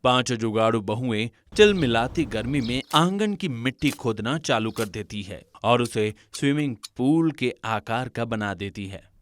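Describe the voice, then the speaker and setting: A man reading scripted narration for an animated family story as its storyteller. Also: mid-range voice, a little slow, few pauses.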